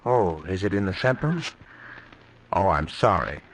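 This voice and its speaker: Placating nephew, low voice. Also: male voice, lively intonation, moderate pace. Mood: apologetic. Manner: placating, apologetic, polite. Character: smooth, scheming nephew placating his aunts. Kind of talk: old-time radio drama acting. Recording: noisy vintage radio recording.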